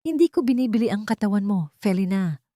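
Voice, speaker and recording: A woman voicing a cold, calculating wealthy male boss in an audio drama, speaking in a measured, controlled manner. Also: mid-range voice, expressive, dark tone, no echo, clean studio sound, voice pushed up, natural voice.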